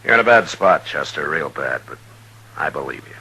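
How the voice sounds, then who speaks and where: Frontier marshal, American, low-pitched, forceful, terse and loyal; vintage radio western with faint hum. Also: male voice, lively intonation, creaky, heavily acted, rough recording. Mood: firm, reassuring. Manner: terse, measured, firm. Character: steady, authoritative frontier marshal, stern but loyal. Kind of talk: 1950s radio western acting. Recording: old radio recording, faint hum and hiss.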